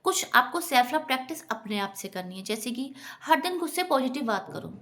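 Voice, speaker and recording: Higher-pitched woman giving motivational advice. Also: big pitch swings, a little fast, fairly bright tone. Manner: earnest, instructive, often addresses listeners as friends. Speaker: self-help vlogger. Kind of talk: motivational talk to viewers.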